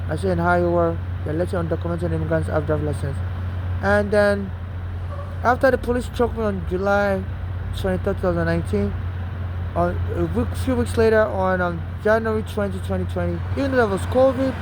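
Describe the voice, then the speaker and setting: A man's voice: high-pitched, fairly quick. A man from Nigeria with a Nigerian accent mixed with an American one, talking outdoors on a city street at night in a rambling, aggrieved, repetitive way. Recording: noisy street traffic background. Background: passing traffic.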